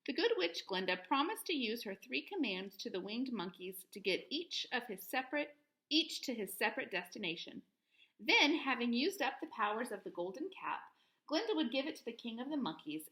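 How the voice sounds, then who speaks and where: A woman reading a children's story aloud in an expressive, clearly phrased teacher's manner. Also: on the high side, normal speed, rough recording.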